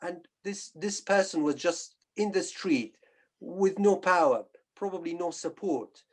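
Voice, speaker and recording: A middle-aged man from northern Iraq giving a personal account on a webinar, speaking in a halting, hesitant way. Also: high voice, lively intonation. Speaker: guest speaker, physician and polio survivor.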